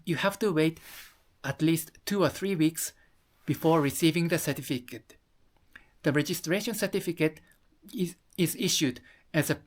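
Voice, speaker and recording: Halting Japanese-accented explainer voice. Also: medium pitch. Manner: halting, often repeats and rephrases phrases. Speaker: presenter of an explainer video. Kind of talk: explanatory talk to camera audience.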